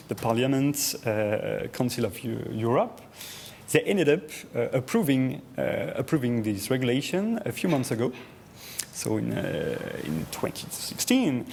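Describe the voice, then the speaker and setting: A man's voice: medium pitch, vocal fry, a little mellow, a little hiss or hum. A man from France with a French accent, presenting to an audience, talking with frequent hesitations, fillers and restarts. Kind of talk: presentation talk.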